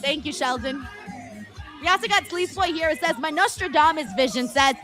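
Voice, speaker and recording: Bright high-voiced chatty woman streamer. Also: moderate pace, faint music behind. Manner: laughs often, loose and chatty with many fillers; talks straight to her viewers. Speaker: live-stream host reading chat. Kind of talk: casual watchalong chat.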